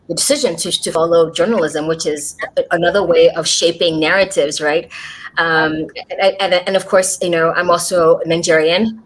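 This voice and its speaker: A woman's voice: fairly low pitch, a bit bright, rough recording. An American woman hosting a livestream podcast interview over a video call, chatty, with frequent fillers and restarts. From United States. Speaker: podcast host.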